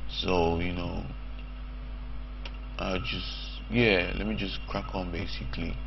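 A man in his thirties, croaky from just waking up, talking haltingly to camera with many restarts. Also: sits low, fairly even intonation. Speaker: vlogger. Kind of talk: unscripted talk to camera. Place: small room indoors. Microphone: lapel mic. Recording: dull lo-fi sound with noise.

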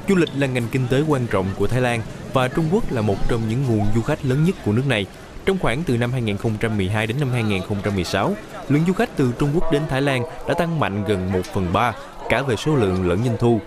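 A man reading scripted news voice-over in a brisk, even newsreading manner. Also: mid-range voice, crowd noise. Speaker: news reporter.

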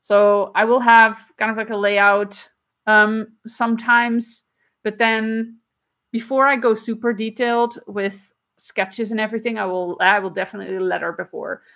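A woman in her thirties, not a native English speaker, casually answering viewer questions on a livestream from a small home room, talking through a webcam with many "um"s and quick laughs. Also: medium pitch, flat delivery, fairly bright tone. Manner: chatty, frequent "um"s and quick laughs, gestures while explaining. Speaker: comic artist and livestream host. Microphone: webcam at desk distance.

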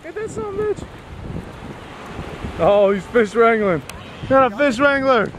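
A young man filming friends salmon fishing on a beach, excited and playful, shouting encouragement. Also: extremely high pitch, unhurried, low-quality recording. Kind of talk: excited casual outdoor banter. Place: ocean beach at a river mouth, outdoors. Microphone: handheld camera mic. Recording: loud surf and wind noise.

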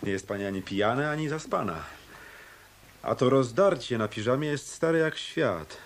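An actor playing a tough, wisecracking hotel detective in a radio drama, with a wry, sardonic manner. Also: male voice, mid-range voice, expressive, moderate pace, slight background noise, lightly acted. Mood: wry probing.

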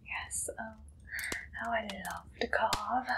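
A woman's voice: medium pitch, fairly even intonation, slow, background noise, natural voice. A young woman playing a sly, gleefully macabre pie shop keeper in an ASMR roleplay, coy and lingering. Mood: gleefully sinister. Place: small dim indoor room. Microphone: close microphone.

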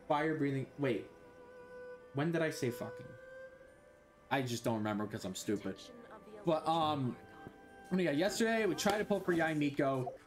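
Young guy streaming from his bedroom, rambly and sweary, voice bouncing all over. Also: on the high side. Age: young adult. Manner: scattered, rambling, swears a lot. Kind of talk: casual gameplay commentary. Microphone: headset.

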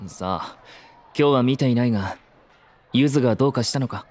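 A man's voice: mid-range voice, a little fast, a little mellow, a little hiss or hum, close to an everyday voice. An actor playing a serious, naive young male idol in an audio drama, stiff and formal. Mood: stern, calm. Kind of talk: Japanese audio drama acting.

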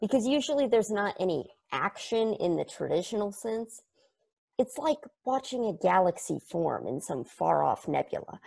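Woman reading as a nerdy game fan, gravelly edge, rambly and earnest, video call mic. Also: medium pitch, very animated, moderate pace, a little mellow. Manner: earnest, rambling, self-correcting. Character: eager, nerdy fan explaining a strange game. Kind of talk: table read of a stage play.

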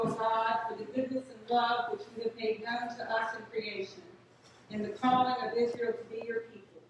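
A woman's voice: a little high, distant mic. Woman priest praying in an echoey church. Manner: solemn, measured declaiming. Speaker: Episcopal priest. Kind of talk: liturgical prayer recitation. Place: inside a small church at the altar. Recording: echoey church from afar, noisy background.

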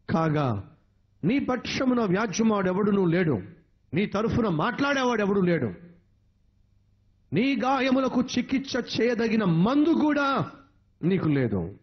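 A man preaching a sermon, emotional and repetitive, with dramatic swings in pitch. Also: husky, highs cut off.

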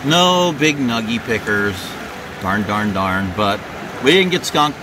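A middle-aged man casually narrating his gold prospecting on a riverside gravel bar, relaxed and chuckling as he works. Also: on the low side, slow pace, noisy background.

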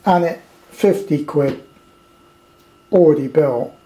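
A man's voice: on the high side, slow pace, warm tone, poor audio. A British man giving a casual, off-the-cuff hobbyist review in a rambling, blunt, colloquial way. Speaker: amateur radio hobbyist reviewing a kit. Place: indoors at a tabletop.

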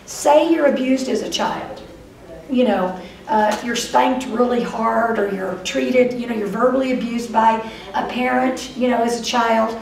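An older woman with a Texas accent giving a lively, chatty lecture to a live audience, speaking straight to them. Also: on the high side, a little slow, vocal fry, far from the mic, a little hiss or hum. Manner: lively, chatty, addresses audience directly, acts out voices of dreams. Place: meeting room before a whiteboard. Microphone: far camera. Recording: roomy echo, voice from afar.

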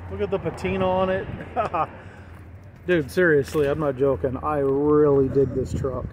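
An American man with a fairly high voice chats casually as he films a walk-around. His talk is slangy and enthusiastic. He is outdoors on a handheld mic, with clear noise behind him. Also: fairly even intonation, moderate pace. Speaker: vlogger filming a CB radio meetup. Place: outdoors in a gravel parking lot. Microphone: handheld camera or phone mic.

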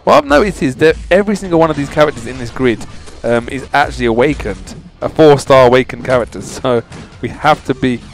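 A man's voice: medium pitch, expressive, fairly quick, gravelly. A man hosting a casual video game walkthrough, talking informally and straight to his viewers, often repeating his phrases. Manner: informal, chatty, addressing viewers directly. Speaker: gaming channel host. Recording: game music behind voice. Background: video game music.

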